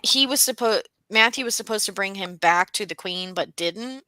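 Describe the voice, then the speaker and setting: A woman's voice: mid-range voice, moderate pace, sharp tone, dry recording. A woman co-hosting a book discussion, chatting casually and tentatively, peppering her speech with "like.". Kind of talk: casual chat.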